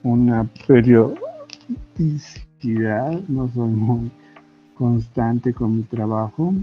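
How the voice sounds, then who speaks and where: A man's voice: mid-range voice, very animated, drawn-out words. A Bolivian man speaking Spanish as an interview guest, thoughtful and hesitant, often checking in with short tag questions. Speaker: guest, comic artist and illustrator. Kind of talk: casual interview conversation. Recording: muffled lo-fi audio with faint music. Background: faint background music.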